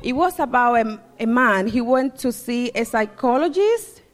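A woman's voice: fairly high pitch, lively intonation, a little slow. A woman from the Dominican Republic with a Spanish accent, giving a joyful church testimony that she keeps breaking with hallelujahs. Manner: joyful, laughing, repeating hallelujah. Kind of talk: church testimony and short sermon. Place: church hall. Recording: long hall reverb.

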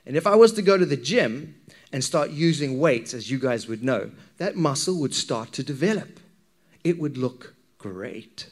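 A man's voice: medium pitch, expressive, normal speed. A man with a Zimbabwean accent preaching a sermon to a congregation in a conversational, questioning manner. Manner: conversational, engages listeners with questions and anecdotes.

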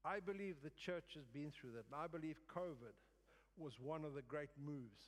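A man giving a sermon as a guest preacher, in a halting way with phrases repeated for emphasis. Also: on the high side. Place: church service in a large room. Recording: reverberant hall.

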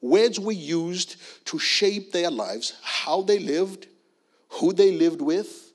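A man's voice: sits high, slow pace. A middle-aged man from South Africa preaching a sermon with emphatic, repeated key phrases.

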